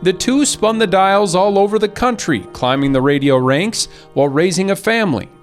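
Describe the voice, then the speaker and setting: A man's voice: high voice, normal speed. A man with an American accent reading scripted narration for a sports feature. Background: background music.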